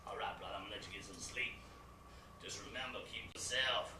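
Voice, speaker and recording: A man's voice: on the low side, a little fast, lightly acted. An actor playing a swaggering male gang veteran who coaches a new inmate in profane, streetwise slang in a theatrical monologue. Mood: boastful. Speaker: performer. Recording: echoey, distant mic, noisy ambience.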